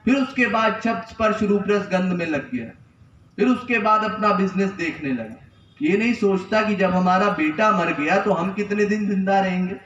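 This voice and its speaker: High-voiced man preaching in an echoey hall. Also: moderate pace, fairly bright tone, slight background noise. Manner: earnest, repetitive teaching with rhetorical questions. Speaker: spiritual preacher. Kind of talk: Hindi religious discourse. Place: temple hall. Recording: echoey, distant, dull lo-fi sound.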